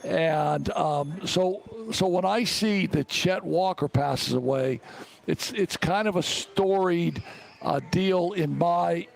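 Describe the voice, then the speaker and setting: A middle-aged American man hosts a casual sports talk show. His voice is on the high side and heavily creaky, and he rambles along, often circling back over his own phrases. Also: slow.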